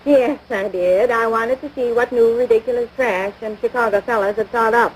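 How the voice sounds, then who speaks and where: A woman's voice: on the high side, moderate pace, natural voice. An actress playing a crabby small-town American housewife and mother in a domestic comedy, nagging in folksy, scornful talk. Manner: folksy ungrammatical speech, scornful, exasperated. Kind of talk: domestic radio-style situation comedy. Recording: noisy vintage broadcast recording.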